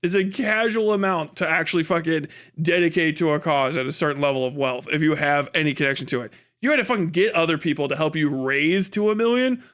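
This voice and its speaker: A man's voice: a little high, fast talker, hardly any pauses, fairly bright tone. A man giving casual livestream commentary, swearing often and stressing his points with emphatic repetition. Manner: profane, emphatic, opinionated, repeats words for stress. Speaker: livestream host.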